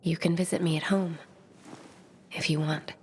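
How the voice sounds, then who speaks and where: An actress playing a shy, dreamy, bookish young woman who speaks softly and wistfully, often half-whispering. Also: deep voice, fairly even intonation, normal speed, slightly rough.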